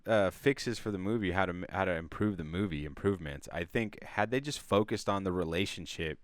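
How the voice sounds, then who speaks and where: Low-voiced, hesitant man. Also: no room sound, clean studio sound. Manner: hesitant, halting phrasing. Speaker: film review co-host. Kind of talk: casual chat.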